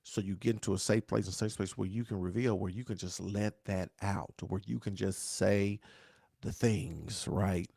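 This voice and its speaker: A pastor who talks to viewers in a preachy, repeating way and asks "right?" again and again. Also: male voice, on the low side, fairly even intonation, moderate pace, vocal fry, darkish tone, slightly rough, dry recording, studio quality. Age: 40s. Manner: dialect grammar, says "y'all". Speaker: pastor hosting a grief talk show. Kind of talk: live-stream talk answering viewer questions.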